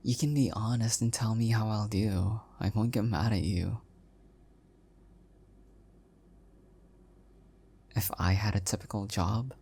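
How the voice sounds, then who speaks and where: A man playing an adorkable, self-deprecating young husband in an intimate one-sided audio roleplay, speaking softly and hesitantly with affection. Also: on the low side, fairly even intonation, normal speed, creaky, husky. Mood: affectionate, modest.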